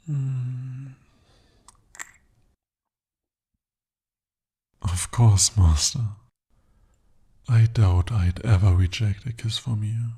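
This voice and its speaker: A man voicing a devoted, possessive male demon in an ASMR romance roleplay, speaking softly and formally. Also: lowish voice, slow, slightly rough, heavily acted. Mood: hushed. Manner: soft, intimate, formal speech. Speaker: voice actor.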